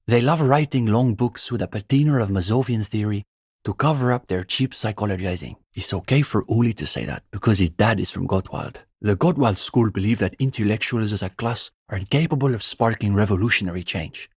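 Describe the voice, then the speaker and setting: An earnest young student intellectual for a video game. His voice sits a little low with some huskiness, recorded close and dry. He lectures in a bookish, opinionated way, smugly sure of his doctrine. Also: a little fast, a little mellow, slightly rough, no echo. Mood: dryly disdainful. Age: young adult. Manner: intellectual, opinionated, dryly pedantic. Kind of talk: video game dialogue voice acting.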